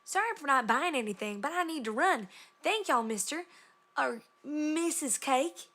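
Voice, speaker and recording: A woman's voice: sits high, lively intonation, normal speed, pushed and bright. A woman voicing an earnest country farm mare with a folksy rural Southern American drawl and polite, flustered manners.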